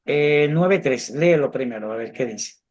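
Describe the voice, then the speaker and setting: A man's voice: medium pitch, lively intonation, moderate pace, a little mellow, dull audio. Bilingual man teaching a Bible class. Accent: English-speaking, Cuban-learned Spanish. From United States. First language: English. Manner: earnest, repeats words when searching. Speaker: theology teacher. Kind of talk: interactive online Bible class.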